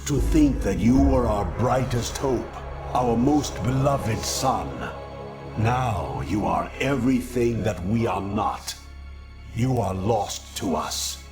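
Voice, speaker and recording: This is a haughty alien judge from a video game, stern and full of disdain. He draws his formal words out slowly with pompous condescension. Music plays clearly behind him. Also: mid-range voice. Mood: scornful lament. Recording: music under the voice.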